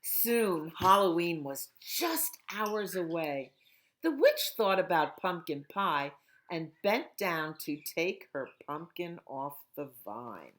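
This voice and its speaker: A woman children's librarian reading a picture book aloud at storytime, slow and expressive. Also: fairly low pitch.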